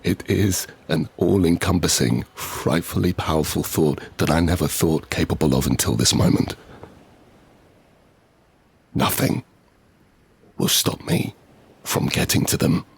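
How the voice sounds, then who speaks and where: An actor playing a desperate, vengeful father who narrates his post-apocalyptic survival in an intense, brooding, deliberate manner. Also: very deep voice, creaky, husky, full character voice. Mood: burning rage. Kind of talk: first-person scripted audio drama narration.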